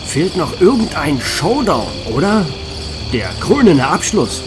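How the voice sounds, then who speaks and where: A man's voice: fairly high pitch, sing-song, slow, over music. A man playing an absent-minded, matter-of-fact storyteller on the run in a horror-comedy audio play. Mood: musing. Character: absent-minded male storyteller fleeing a monster.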